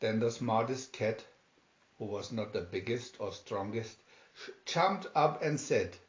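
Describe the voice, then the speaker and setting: A man reading a children's story aloud in a deliberate, dramatic storytelling manner. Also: fairly low pitch, expressive. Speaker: storybook reader. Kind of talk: scripted children's story narration, read aloud. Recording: roomy, slightly dull home recording.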